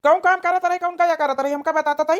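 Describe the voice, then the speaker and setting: A woman's voice: very high voice, fairly even intonation, fast. A woman voicing a tired, grumbling village husband with an Awadhi accent in a cartoon comedy. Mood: irritated. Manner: grumbling, berating.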